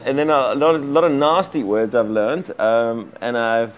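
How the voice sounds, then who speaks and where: A South African man with an Afrikaans accent, a native Afrikaans speaker, talking casually as an interview guest in an energetic, rambling way with many restarts. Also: mid-range voice, measured pace. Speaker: actor and director guest.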